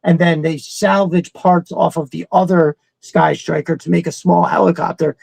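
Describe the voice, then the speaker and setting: Middle-aged male co-host, lots of vocal fry, dry room-free sound, blunt, sweary, casual talker. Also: a little high, little pitch movement, normal speed, darkish tone, dry recording. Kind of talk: casual chat.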